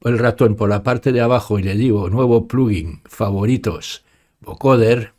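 A man in his sixties with an accent from Spain, giving an informal step-by-step software tutorial and talking each step through aloud into a close studio mic. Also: on the low side. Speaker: tutorial presenter. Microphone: studio microphone up close, with headphones.

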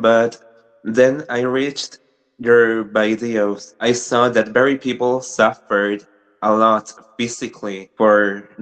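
Young Argentine man on a webcam call, slow and halting, Spanish-accented English. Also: medium pitch, rough recording. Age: young adult. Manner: hesitant, restarts, gestures while talking. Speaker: caller asking a question. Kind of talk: hesitant personal question. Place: small room with brick wall, indoors. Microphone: video call webcam.

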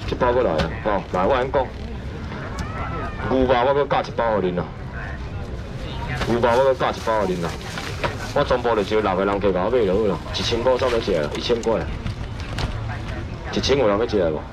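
A man running a live seafood auction at an outdoor night market stall, loudly shouting prices and sales patter. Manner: loud rapid sales pitch, shouting prices. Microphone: wide camera in front of stall. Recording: noisy outdoor market, traffic behind.

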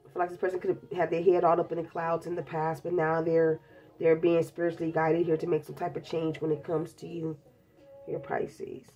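A woman's voice: sits low, monotone, fairly quick, warm tone, soft background music. A woman with a stuffed-up cold, chatting bluntly and casually through a tarot reading, calling her viewers babies. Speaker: tarot reader. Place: indoors at a table covered in tarot decks.

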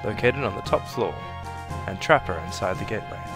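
A man's voice: on the low side, lively intonation, brisk, loud music behind the voice, close to an everyday voice. A man voicing a formal middle-aged army general in a game dub, giving clipped, authoritative briefings. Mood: commanding.

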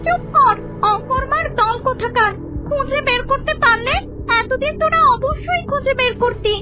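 A very high, piercing voice for an evil cartoon demoness. She scolds, commands and threatens with relish, over background music. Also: moderate pace, distant mic. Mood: angry, commanding. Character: menacing evil female demon hunting a girl, craving immortality. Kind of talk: cartoon fairy-tale villain acting.

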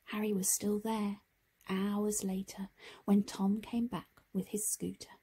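Breathy, unhurried woman reading a storybook. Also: medium pitch. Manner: expressive, deliberate phrasing for children. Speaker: storybook reader. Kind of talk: scripted children's story narration.

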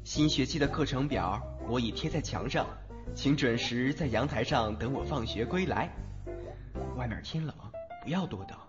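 A brash teenage boy acted by a man who teases and dotes, with very animated pitch. He speaks in radio-play style over clear background music. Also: normal speed, lo-fi sound, natural voice. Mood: playful teasing. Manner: teasing, affectionate. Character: brash, teasing, doting teenage schoolboy. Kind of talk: Mandarin audio drama acting. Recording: band-limited, background music throughout.

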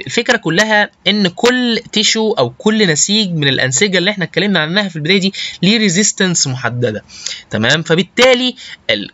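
Casting: Egyptian man as informal lecturer, forceful and quick, stop-start delivery with frequent check-ins. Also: high-pitched, dry recording, lo-fi sound. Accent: Egyptian Arabic. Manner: halting, often checks understanding with short tag questions. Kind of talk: informal slide lecture with technical English terms.

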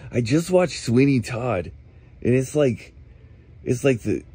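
Laid-back slacker man with lively swooping pitch. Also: breathy edge, no room sound. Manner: laid-back slacker, drawn-out words, frequent "like", chuckling. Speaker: comic voicemail caller. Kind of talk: rambling casual movie recap as a phone message.

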